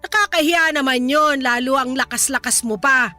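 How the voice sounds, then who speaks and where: Casting: scolding, stubborn Filipino grandmother for radio drama; high, sharp voice, combative and exclamatory. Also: normal speed, soft background music. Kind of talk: Tagalog radio drama dialogue.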